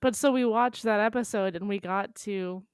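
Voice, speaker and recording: A woman's voice: medium pitch, moderate pace, clean tone, dry recording, studio quality. A woman co-hosting a podcast, chatting casually with a giggly, wry manner. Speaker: podcast co-host. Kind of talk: casual joking chat.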